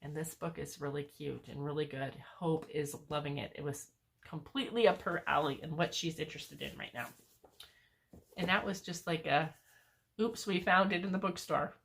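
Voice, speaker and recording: A woman's voice: low-pitched, normal speed, vocal fry, a bit bright. An older woman, a homeschooling grandmother, chatting enthusiastically about books in a casual way with lots of fillers. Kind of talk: casual book review chat.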